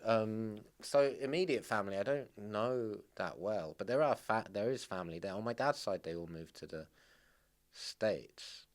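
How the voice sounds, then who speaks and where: A middle-aged man with an English accent talks in a fairly low voice. He rambles and restarts his sentences often. Persian was his first language. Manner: rambling, halting, many restarts. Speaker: podcast guest. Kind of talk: casual conversation.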